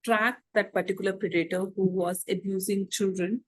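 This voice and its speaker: A woman's voice: medium pitch, steady tone, moderate pace, rough recording. Hesitant woman interviewer. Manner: hesitant, many fillers and restarts. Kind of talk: interview questions.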